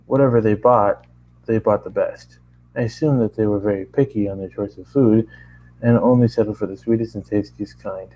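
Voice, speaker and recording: A man's voice: fairly low pitch, fairly even intonation, normal speed, highs cut off. A man narrating first-person fan fiction in a conversational way, stumbling and re-reading lines as he goes. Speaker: amateur story narrator.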